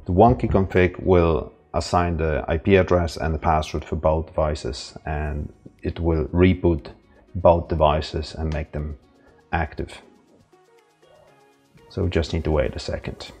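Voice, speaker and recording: A man's voice: extremely low pitch, steady tone, measured pace, darkish tone, slightly rough, soft background music. A man from Ireland casually walking through an intercom setup step by step, with a few fillers. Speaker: installer demonstrating setup. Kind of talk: casual tutorial narration.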